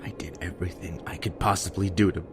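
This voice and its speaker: A man playing a guilt-ridden, self-loathing young survivor in an emotional audio drama, with a halting, bitter delivery. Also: sits low, fairly even intonation, lightly acted. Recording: prominent music behind the voice.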